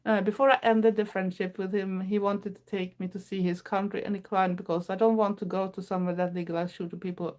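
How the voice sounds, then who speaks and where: A Swedish woman in her fifties chats with viewers in English. Her Swedish accent is clear, and her voice sits at an everyday middle pitch. She talks earnestly and unhurriedly, with small slips in her grammar. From Sweden. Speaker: livestream host. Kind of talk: casual chat.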